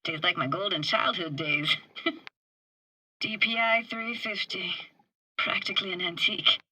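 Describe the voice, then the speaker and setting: An actress playing a tough, sardonic but warm-hearted young woman in a video game, talking in casual, clipped slang. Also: on the low side, unhurried, slight background noise, poor audio. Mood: wistful, casual. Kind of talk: naturalistic video game voice acting.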